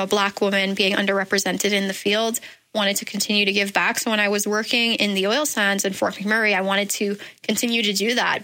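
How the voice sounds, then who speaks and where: Woman, from the Bahamas, radio studio guest, bright voice, thoughtful with many fillers. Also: mid-range voice, low-quality recording. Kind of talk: radio interview answers. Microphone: studio microphone.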